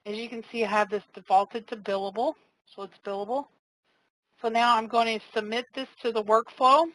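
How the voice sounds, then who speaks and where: Casual woman walking through a software demo. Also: on the high side, steady tone, moderate pace, dry recording, poor audio. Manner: casual, step-by-step explaining with fillers. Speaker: software trainer. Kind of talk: live software demo walkthrough.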